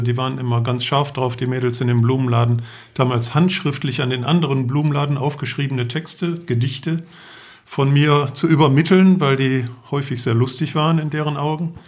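A man, telling casual anecdotes as a podcast guest. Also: medium pitch. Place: living room.

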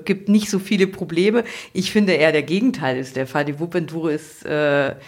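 A woman with a slightly low voice speaks as a podcast co-host. Her delivery is conversational, in the manner of a casual interview.

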